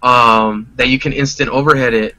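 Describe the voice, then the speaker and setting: A man's voice: medium pitch, steady tone, moderate pace, sharp tone, no room sound, low-quality recording. A man chatting casually and analysing a game as a podcast guest, in slangy, conversational language. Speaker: guest player on a gaming podcast.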